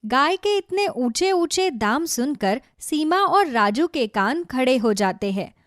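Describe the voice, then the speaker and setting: Woman, cartoon story narrator, scripted delivery, higher-pitched, very dry sound. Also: big pitch swings, a little slow, no echo.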